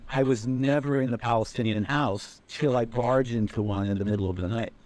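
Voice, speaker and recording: A young man from Israel giving measured, reflective personal testimony about his time as a soldier. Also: medium pitch, a little mellow. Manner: measured, reflective storytelling with occasional "um". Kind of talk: personal testimony, clip from a talk.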